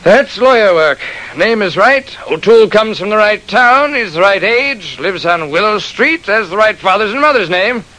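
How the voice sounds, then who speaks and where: This is a man acting as a big, hearty manager who talks in blunt, clipped phrases. His pitch is raised and moves in lively steps. The style is classic radio drama, heard on a vintage recording. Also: normal speed, low-quality recording. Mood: bluff, assured.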